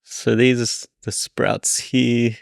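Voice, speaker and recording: Australian man with a gravelly edge, chatting casually. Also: medium pitch, little pitch movement, takes it slowly, no echo, clean studio sound. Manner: explanatory, conversational. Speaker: podcast co-host. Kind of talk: casual food and wine chat.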